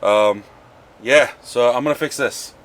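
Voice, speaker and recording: A man's voice: medium pitch, a little slow, very noisy. A man with a nasal voice from a cold, chatting casually about working on his car in a home garage. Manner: casual, mutters while working, swears. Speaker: car project vlogger.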